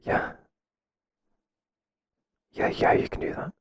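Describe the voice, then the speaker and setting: A man playing a mild, kindly workplace boss in a whispered ASMR roleplay, soft-spoken and halting with a breathy voice. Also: lightly acted. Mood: hesitant, accommodating. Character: mild, hesitant, kindly male workplace boss, flustered by flattery.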